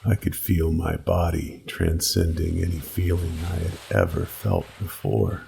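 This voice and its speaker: Male horror narrator: deep, heavily gravelly, slow and brooding, dramatic scripted read over faint ambient noise. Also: animated, dark tone. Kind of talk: dramatic scripted first-person creepypasta narration.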